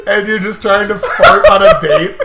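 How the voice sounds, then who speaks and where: Male co-host for a comedy playthrough, very lively intonation, rambling and crude, echoey room. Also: sits high, moderate pace, heavy background noise. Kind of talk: casual joking banter over a visual novel.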